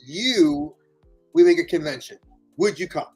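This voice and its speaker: A man with a New York accent hosting a live chat, animated and friendly. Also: on the high side, measured pace, breathy edge, faint music behind. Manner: animated, friendly, says dude a lot. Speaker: host.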